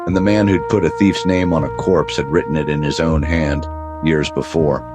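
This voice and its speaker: Very deep-voiced deadpan noir narrator. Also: male voice, moderate pace, loud music behind the voice. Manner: world-weary deadpan with dry wisecracks. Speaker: private detective narrator.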